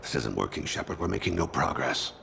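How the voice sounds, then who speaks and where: A man voicing a solemn, regretful alien assassin in a video game, speaking in a measured, formal, reflective way. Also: expressive, background noise, exaggerated voice. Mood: calm, grave. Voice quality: very deep, gravelly. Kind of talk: dramatic video game acting.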